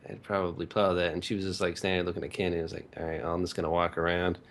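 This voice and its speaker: A man casually telling crude, vulgar stories in a halting, repetitive, filler-heavy way. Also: low-pitched, little pitch movement, a bit bright. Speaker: co-host of a visual novel rant show. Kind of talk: casual rambling crude storytelling.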